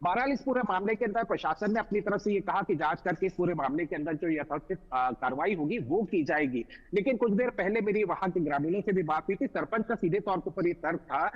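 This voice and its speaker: A high-voiced male correspondent files a live, unscripted report. He talks in formal, roundabout phrases with halting breaks. The link sounds dull and lo-fi. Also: lively intonation. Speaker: field news correspondent.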